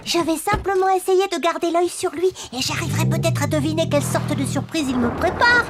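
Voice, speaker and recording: A woman's voice: very high-pitched, brisk, heavily acted. A woman voicing a curious, self-assured, teasing little girl character in a French children's cartoon. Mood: confident. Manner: teasing, excitable. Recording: loud music over the voice.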